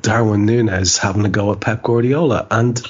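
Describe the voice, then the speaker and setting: A man with an Irish accent and a husky, slightly low voice talks in a casual podcast style. He wanders through wordy sentences, dropping slang and swearing among ornate phrases. The recording is dull and lo-fi. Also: steady tone, moderate pace, darkish tone. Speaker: podcast host. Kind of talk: casual chat about football.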